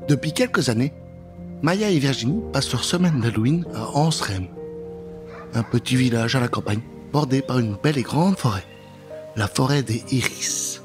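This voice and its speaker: A middle-aged male storyteller delivers a spooky tale for children with theatrical, playful expression. His mid-pitched voice is close on a studio microphone and addresses the listener directly. Music plays clearly underneath. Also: lively intonation, moderate pace. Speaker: storyteller, narrator. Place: dark studio booth. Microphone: close studio microphone with pop filter. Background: prominent music.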